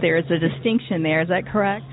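A woman's voice: on the low side, fast talker, faint music behind. Woman host asking questions over phone-like audio. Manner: asks long, searching questions with some hesitations. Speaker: radio host. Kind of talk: interview questions. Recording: phone-like narrow band.

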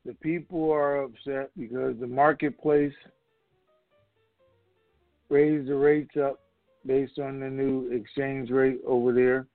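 A man speaks through a narrow, muffled phone connection with very little room sound. His pitch sits in the middle of the male range. He rambles casually and colloquially, as the host of a group conference call. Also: flat delivery, slow, pauses often, dry recording, soft background music.

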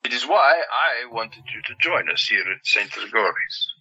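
An actor playing a supportive, authoritative senior hospital doctor in an audio drama, formal, deliberate and reassuring. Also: male voice, medium pitch, animated, pushed and bright, breathy edge, highs cut off, exaggerated voice.

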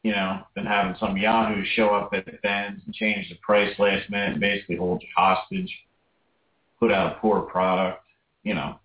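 A man's voice: fairly low pitch, little pitch movement, normal speed. A man talking casually as a guest on a radio show, rambling with many fillers. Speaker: guest vendor. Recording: distant, roomy, phone-like line.

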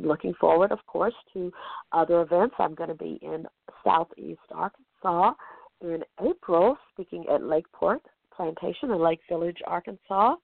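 A woman with a hoarse, gravelly voice talks as an interview guest. She sounds keen and emphatic about her research. The sound is tinny and dry, like a phone line. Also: on the low side, dry recording. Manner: enthusiastic, emphatic. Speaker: genealogist and podcaster, guest. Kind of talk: interview answers.

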